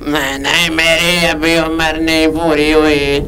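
A man's voice: fairly high pitch, level tone, slow pace, bright, forceful tone. A man voicing a vain young female cow puppet in a comic children's show, fretful and whining in protest. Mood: whiny protesting. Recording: distant miking with faint noise.

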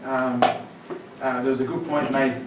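A man's voice: mid-range voice, little pitch movement, a little slow. Conversational man in an echoey lecture hall. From Syria. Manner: conversational, filler-heavy, growing forceful. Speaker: panel speaker. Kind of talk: panel answer at a conference. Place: large lecture room, standing before the panel. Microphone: handheld mic. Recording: roomy echo, distant camera, audible room noise.

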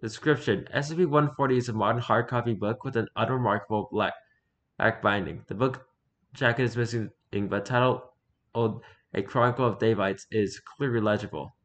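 A man narrates written horror fiction at a middling pitch. He reads in a halting way, tripping on words and going back to correct them. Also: a bit bright. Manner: stumbles over words, self-correcting. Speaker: streamer reading horror fiction aloud. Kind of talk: scripted narration read aloud, halting.

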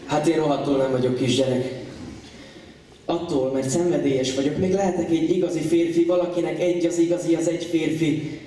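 A man's voice: fairly high pitch, fairly even intonation, mellow. A young man performing slam poetry to a live audience in a theatrical, impassioned and playful way. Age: young adult. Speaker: slam poet. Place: large indoor venue, on stage. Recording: echoey hall from far off, audience audible. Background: live crowd reacting.

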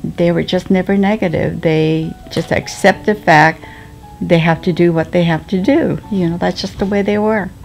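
A woman in her seventies whose first language is Japanese, recalling the past slowly and hesitantly, with many ums and restarts. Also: on the low side, steady tone, gravelly, a little mellow, faint music behind, rough recording. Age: 70s. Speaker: interviewee. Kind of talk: slow reflective recollection. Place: sunlit living room at home.

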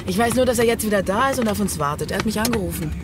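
An actor playing a hurried, pragmatic accomplice at the wheel in a radio crime drama. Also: medium pitch, animated, loud music behind the voice, close to an everyday voice.

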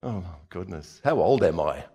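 A man's voice: sits low, expressive, normal speed, dark tone, slightly rough. A man in his forties, born in Czechoslovakia, preaching a conversational sermon from a church stage on a headset mic, asking the audience questions as he moves about. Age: 40s.

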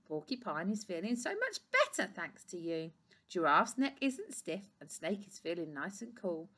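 A woman voicing an excitable, dramatic male zookeeper in a children's story. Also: on the low side, moderate pace, breathy edge, full character voice. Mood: admiring.

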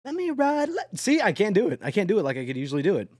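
A man's voice: high-pitched, huge pitch swings, rapid-fire, darkish tone, dry recording, studio quality. A young man streams a truck driving game and talks to his chat casually. He swears often and breaks into yelps and laughs. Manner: addresses chat directly.

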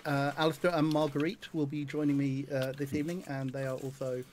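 A man hosting a charity game stream over voice chat, speaking hesitantly with many pauses. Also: mid-range voice, little pitch movement, crowd noise. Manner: hesitant, full of uhs. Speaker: stream host. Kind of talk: casual livestream hosting.

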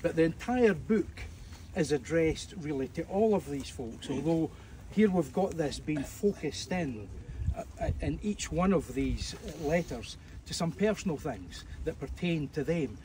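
Scottish preacher outdoors, a bit distant. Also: male voice, a little high, expressive, unhurried. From Scotland. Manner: warm, joking, conversational preaching with fillers. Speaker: evangelist. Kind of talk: outdoor sermon to a tour group. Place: outdoors at ancient ruins. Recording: distant mic outdoors, faint background noise.

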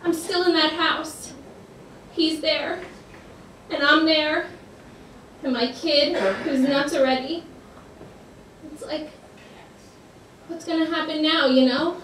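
A woman's voice: sits high, fairly even intonation, slow, pauses often, a bit bright. A woman performing a stage monologue as a tormented, guilt-ridden woman, blunt and intense, in an echoey space. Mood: brooding dread. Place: competition stage, indoors. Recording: echoey stage, distant mic, faint noise.

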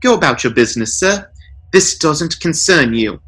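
A man voicing pompous, pedantic corporate lawyers in a comic short story, speaking formal, deliberate legalese. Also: fairly high pitch, expressive, fairly bright tone, slightly rough, voice pushed up. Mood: calmly condescending.

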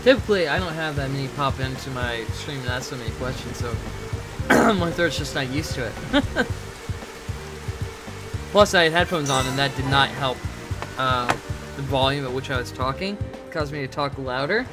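A hoarse young man with a sore throat, rambling and laughing at himself as he comments on a game from a headset at home. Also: mid-range voice, moderate pace. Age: young adult. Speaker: streamer. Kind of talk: casual gameplay commentary. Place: room at home. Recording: game music playing behind.

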